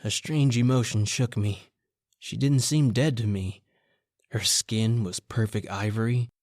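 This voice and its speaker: A man's voice: lowish voice, unhurried, darkish tone, no room sound, clean studio sound. A man playing a nervous young reporter who narrates his own story in an earnest, intense 1940s radio drama style. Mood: brooding, fervent.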